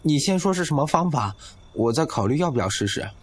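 A man's voice: on the high side, lively intonation, fairly quick, slightly rough. A man playing a proud, flustered young male college student in an audio drama, indignant and protesting. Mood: hesitant. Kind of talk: Chinese audio drama acting.

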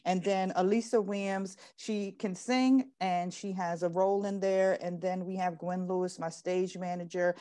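A woman's voice: lowish voice, steady tone, moderate pace, few pauses, warm tone. A middle-aged woman chatting casually and talkatively as an interview guest on a video call. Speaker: interview guest, playwright promoting her play. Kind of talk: casual chat. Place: indoor room at home, on a video call.

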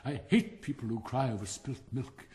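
A man's voice: mid-range voice, moderate pace, a little mellow, natural voice. Man playing a haughty, melodramatic English rocket. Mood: indignant. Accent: English Received Pronunciation. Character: pompous, self-pitying, supercilious male firework rocket. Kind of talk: theatrical storybook character reading.

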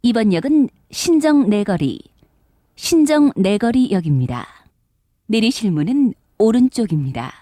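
A woman's voice: medium pitch, sing-song, measured pace, dark tone, no room sound, studio quality. A woman reading scripted subway announcements in a polite, formal manner as a transit announcer.

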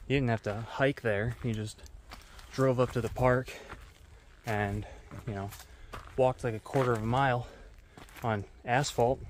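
A man in his thirties talking casually to his head-mounted camera as he hikes a dark mountain trail at night, rambling and swearing freely. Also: mid-range voice, slight background noise. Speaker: vlogger. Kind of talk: casual vlog narration while hiking. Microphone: head-mounted action camera.

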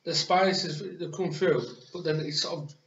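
A man's voice: on the high side, a little slow, gravelly. Rambling young man on webcam. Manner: rambling, many fillers like "you know what I mean". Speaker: co-host. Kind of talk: casual chat. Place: small bedroom with posters, indoors. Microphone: webcam on desk. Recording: roomy, dull lo-fi webcam audio.

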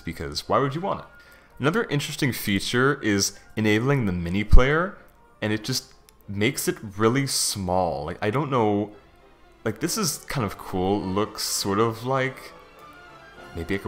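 Low-voiced man giving a software walkthrough. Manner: informal, conversational, thinking aloud. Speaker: software reviewer. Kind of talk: casual screen-recorded software walkthrough. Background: background music.

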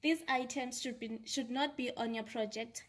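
Young woman, slightly high voice. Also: steady tone, moderate pace. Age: young adult. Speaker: science fair committee presenter. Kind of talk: scripted instructional explanation. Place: classroom or lab with display boards, indoors.

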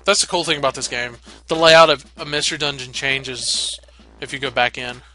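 A man's voice: mid-range voice, fairly even intonation, normal speed, pushed and bright, poor audio. A man giving casual, irreverent let's play commentary, reading game dialogue aloud with playful asides. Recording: game music behind the voice. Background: video game music.

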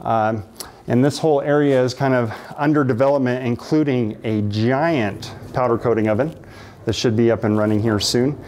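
A man's voice: mid-range voice, normal speed, a little mellow. A man in his thirties giving a casual, enthusiastic walking tour of a large workshop, gesturing as he talks with many fillers. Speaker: makerspace director giving a shop tour. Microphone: camera mic, medium distance.

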